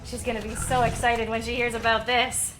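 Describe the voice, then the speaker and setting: A woman playing a defiant, quick-witted young woman in an audio drama, emphatic and taunting. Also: fairly high pitch, flat delivery, a bit bright, soft background music, lightly acted, low-quality recording. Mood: bubbly, goading.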